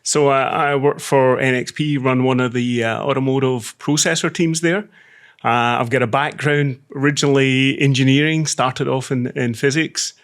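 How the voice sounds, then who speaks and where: A middle-aged Scottish man in a relaxed interview with a gravelly texture to his voice. He speaks at an even middle pitch, measured and self-deprecating, often restarting his phrases. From Scotland. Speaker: podcast guest, semiconductor executive. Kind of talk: casual interview talk.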